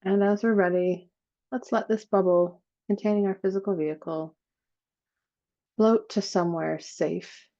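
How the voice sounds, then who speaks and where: A woman leading a guided meditation in a slow, soothing manner, with long pauses between phrases. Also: mid-range voice, fairly even intonation, dark tone, lo-fi sound.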